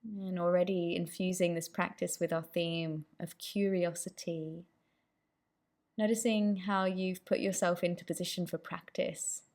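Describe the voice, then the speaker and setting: A woman leading a guided meditation as the instructor, with gentle, inviting instructions. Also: lowish voice, fairly even intonation, a little slow.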